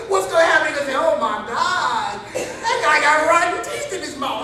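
A middle-aged man preaching a storytelling sermon in a small meeting room, acting out quotes as he paces and gestures. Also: very high-pitched. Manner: animated, acts out quotes, gestures widely while pacing. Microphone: far camera. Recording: very echoey room from far off.

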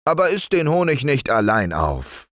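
A man putting on a whiny high-voiced vain bear. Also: huge pitch swings, moderate pace, a bit bright, dry recording, clean studio sound. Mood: fussy whiny. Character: vain fussy male collared bear.

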